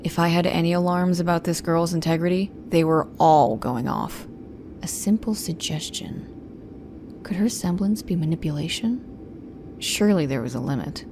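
A woman's voice: lowish voice, moderate pace, dark tone, a little hiss or hum, lightly acted. A woman playing a guarded, protective young woman, narrating an audio drama in the first person in a restrained, brooding, stern manner. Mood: suspicious.

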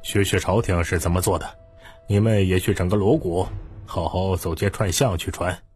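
A commanding household steward gives orders in a low, rough voice , played by a male narrator. He is stern and sure of his authority, an audiobook character with faint music behind. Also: normal speed, a little mellow.

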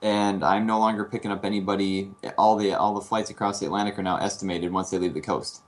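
Deep-voiced man explaining steadily. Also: fairly even intonation, fast. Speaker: guest, air traffic controller. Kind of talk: casual call-in chat.

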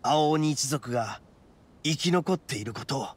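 An actor playing a brash, proud young male oni gang boss in a video game, with rough, cocky, emphatic speech. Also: mid-range voice, animated, measured pace. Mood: earnest, proud. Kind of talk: dramatic video game voice acting.

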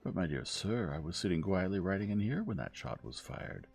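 A man voicing an evasive, affable middle-aged gentleman suspect in an audiobook, with a blustering, folksy manner. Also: sits low, big pitch swings, a little fast, vocal fry, soft background music, exaggerated voice. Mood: defensive. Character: smooth, evasive middle-aged gentleman protesting his innocence.

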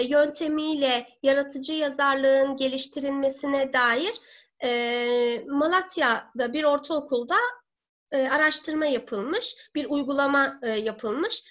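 A woman in her thirties giving an online seminar as a teacher, speaking deliberately with halting, drawn-out words. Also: sits high, fairly bright tone. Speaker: teacher presenting a webinar. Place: living room at home. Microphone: laptop or webcam mic on video call. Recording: dull lo-fi webcam call audio.

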